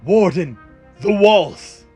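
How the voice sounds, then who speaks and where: A man's voice: high-pitched, expressive, very slow, warm tone, breathy edge, faint music behind, voice pushed up, exaggerated voice. A man voicing commanding, heroic warriors giving urgent orders in battle in a fantasy audio story. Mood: urgent, rallying. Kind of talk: dramatic character voices in fantasy audio story.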